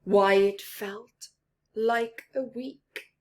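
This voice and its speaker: A woman's voice: mid-range voice, steady tone, very slow. A woman reading a story aloud to young children, expressively, with simple explanatory asides. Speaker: children's story-time reader.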